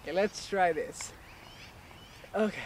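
A man's voice: high voice, unhurried, background noise. A young man turning eighteen, chatting casually and jokingly in an outdoor playground vlog, recorded on a camera mic. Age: young adult. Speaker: vlogger. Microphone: camera mic at arm's length.

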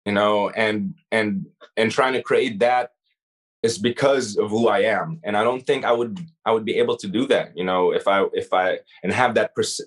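A man's voice: lowish voice, moderate pace. Man talking thoughtfully with restarts. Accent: mixed Arabic-influenced English. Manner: thoughtful, frequent restarts and "you know". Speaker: rapper, guest. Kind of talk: casual interview chat.

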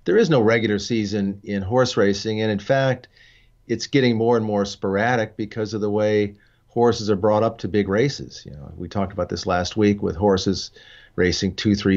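An older man co-hosting a casual discussion show, talking in a measured, thoughtful way over a video call. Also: on the low side, fairly even intonation, a little mellow. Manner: measured, thoughtful, frequent fillers and restarts. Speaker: co-host and racing journalist. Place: studio with dark backdrop. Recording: slightly dull lo-fi sound.

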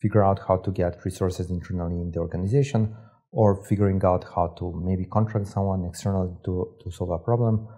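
A man's voice: sits low, steady tone, a little fast, dark tone, husky. A young man from Serbia, a guest in a studio interview, explaining his work with many fillers and restarts on a lapel mic. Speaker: guest, startup operator. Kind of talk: casual interview talk. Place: studio set with armchairs.

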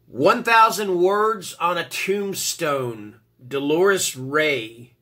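A man's voice: on the high side, very slow, bright, forceful tone. A man in his fifties with a stuffy, congested voice, hosting a rambling casual book review from a small room at home, full of fillers and restarts. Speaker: book reviewer, channel host. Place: small dim home room, seated indoors.

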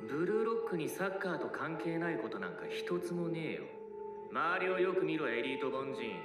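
A man playing a cold, ruthless soccer coach in an anime, lecturing deliberately and with contempt. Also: on the high side, sing-song, distant mic. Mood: cold, contemptuous. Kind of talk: dubbed anime drama acting. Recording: roomy anime playback with loud music.

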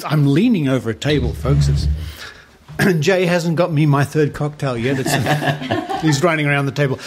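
A male podcast host talks in a relaxed, joking manner at a table. His voice sits a little high for a man, and clear background noise and clicks run through the recording. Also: moderate pace. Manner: relaxed, jokey, chuckles between questions. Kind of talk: casual interview. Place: at a table with drinks being mixed.

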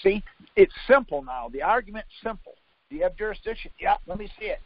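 A man's voice: high-pitched, animated, a little fast, dry recording. A man teaching on a conference call in an informal, insistent way, quizzing and contradicting his listener. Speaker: conference call leader teaching legal theory. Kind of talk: informal instruction by questions. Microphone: conference call line. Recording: muffled lo-fi conference call audio.

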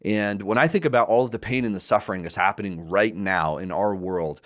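Earnest pastor hosting a podcast. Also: male voice, lowish voice, brisk, a bit bright. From United States. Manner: earnest, direct, with frequent restarts and repeated words. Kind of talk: earnest solo podcast address. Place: small studio set indoors. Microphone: large studio mic in front of mouth.

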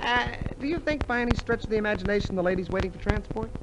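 A man's voice: sits high, fast talker, close to an everyday voice. A man playing a wry, easygoing taxi passenger in a radio comedy. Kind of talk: 1950s radio comedy acting. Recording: noisy old radio recording.

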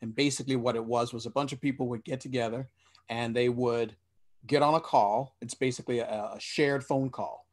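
A man's voice: medium pitch, steady tone, brisk. A man in his forties on a headset at home, speaking earnestly on a video-call panel and often restarting his sentences. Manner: earnest, explanatory, with frequent restarts. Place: small room indoors.

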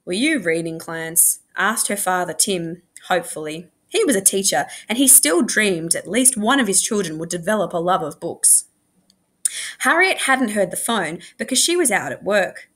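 A woman reads a story aloud with lively, swooping intonation. She sounds like a school librarian sharing a book, animated and expressive in her delivery. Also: medium pitch, normal speed. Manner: animated, acts out dialogue.

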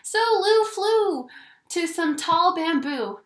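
A woman in her twenties reading a children's story aloud in an expressive, theatrical way. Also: very high-pitched, slow. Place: small indoor home room. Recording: echoey small room.

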